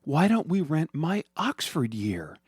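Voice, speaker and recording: Man putting on a high-pitched, anxious wife and mother. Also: very animated, takes it slowly, breathy edge, dry recording, clean studio sound, exaggerated voice. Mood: reassuring. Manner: emotional, pleading.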